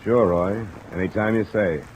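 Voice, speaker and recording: An actor playing a terse, unflappable insurance investigator, dry and clipped, in a 1950s radio detective drama. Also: male voice, on the low side, fairly even intonation, normal speed, slight background noise, close to an everyday voice. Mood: dry, businesslike. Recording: dull old radio recording, music and rain.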